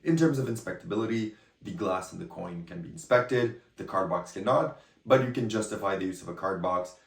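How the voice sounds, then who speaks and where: Hoarse low-voiced man reviewing magic. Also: fairly quick. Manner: chatty, rambling, often checks in with "okay?". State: hoarse, voice partly lost. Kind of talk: casual product review to camera. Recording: echoey room, a bit far from the mic.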